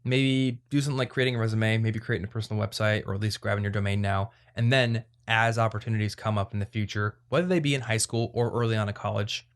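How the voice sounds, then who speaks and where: A man with a fairly low voice hosts a casual advice podcast. He talks conversationally, explaining his points in an informal way. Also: steady tone, fast talker, hardly any pauses, smooth. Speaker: podcast host.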